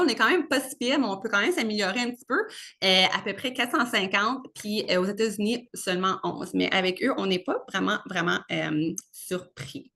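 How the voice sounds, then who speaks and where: Québécoise woman in her thirties, bright forward tone, chatty presenter with lots of fillers. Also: medium pitch. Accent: Québécois French. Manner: chatty, many fillers, mixes in English words. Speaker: brand consultant presenting. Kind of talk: casual presentation.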